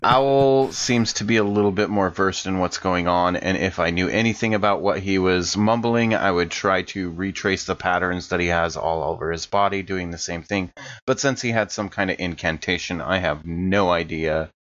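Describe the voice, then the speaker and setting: Deep-voiced male gamer, slightly muffled. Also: normal speed, fairly bright tone. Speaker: player in a tabletop game. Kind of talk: casual actual-play game chat.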